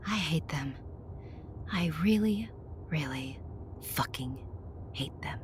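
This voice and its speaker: A woman's voice: sits low, animated, takes it slowly, noisy background. A woman playing a seething, vengeful young adult daughter turned killer in a horror-comedy audio drama, intense and bitter.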